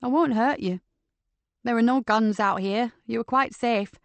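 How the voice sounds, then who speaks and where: A woman's voice: on the high side, unhurried, creaky, no room sound. A woman voicing a female police inspector, firm but coaxing, in an audiobook. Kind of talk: audiobook character voice.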